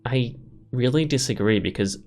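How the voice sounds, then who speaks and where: A man's voice: mid-range voice, moderate pace, a little mellow, faint music behind. A man giving casual let's play commentary, opinionated and rambling as he thinks aloud. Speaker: gaming video host.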